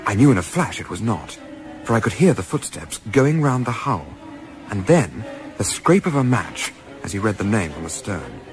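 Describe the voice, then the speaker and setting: A man playing a reflective English gentleman narrator of a sailing adventure in a radio play, with measured, literary, wry phrasing. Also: fairly low pitch, sing-song, music in the background. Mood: tense.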